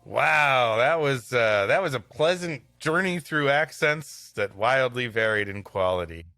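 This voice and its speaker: A man's voice: medium pitch, expressive, measured pace, sharp tone, no echo, soft background music. A man hosting a comedy show, narrating an old storybook tale and chatting between passages. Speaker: host and story reader.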